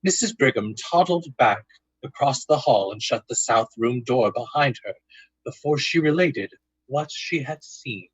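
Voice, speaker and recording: A man serves as narrator of a scripted old-time radio drama. His mid-pitched voice is husky and breathy, delivered dramatically in a dry recording. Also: animated, unhurried, no room sound, poor audio.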